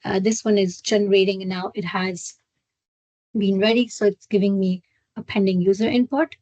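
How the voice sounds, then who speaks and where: Woman doing a software demo on a webinar, halting step by step explaining, mid pitch, really dry sound. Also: fairly even intonation, no room sound, dull audio. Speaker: software trainer hosting a webinar. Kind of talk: live screen-share product walkthrough.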